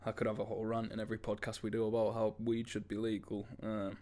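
A man's voice: lowish voice, completely flat delivery, fast talker, a little mellow. A man, co-hosting a podcast in casual, slangy, sweary talk. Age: 20s. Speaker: podcast co-host. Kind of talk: casual chat and storytelling.

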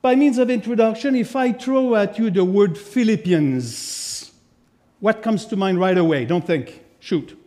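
A man from Quebec with a French Canadian accent, preaching a sermon as a guest speaker in a church, with lively asides to the congregation. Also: high-pitched, a little slow. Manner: animated, chatty asides to the congregation. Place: church sanctuary. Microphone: lectern microphone.

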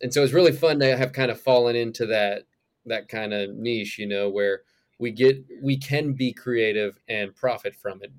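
A young man speaking as a podcast guest about his move from music into real estate, talking loosely with frequent laughs. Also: on the low side. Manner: animated, laughing, acts out quoted reactions. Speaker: guest, former singer turned realtor. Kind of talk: casual interview conversation.

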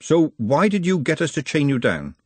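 Man as sharp exasperated woman, storybook, wry and direct, higher pitch, animated. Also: moderate pace, breathy edge, dry recording. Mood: demanding.

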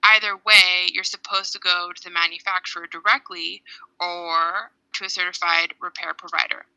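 A young woman from Hawaii co-hosting a podcast, clearly explaining things step by step. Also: fairly low pitch, steady tone, moderate pace, pushed and bright, no echo, low-quality recording. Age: young adult. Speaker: podcast co-host.

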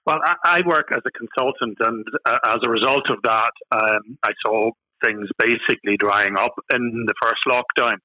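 A man's voice: mid-range voice, normal speed, few pauses, sharp tone, no room sound, poor audio. A middle-aged Northern Irish man, a guest on a podcast, talking with many fillers and restarts. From Northern Ireland. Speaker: guest, marketing consultant. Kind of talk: podcast interview.

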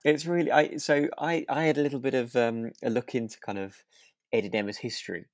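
A British man talks as a podcast co-host in a medium-pitched voice, recorded close and dry. He rambles a little, feeling his way through each story in casual conversation. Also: steady tone, a little fast, no echo. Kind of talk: casual chat about a radio drama.

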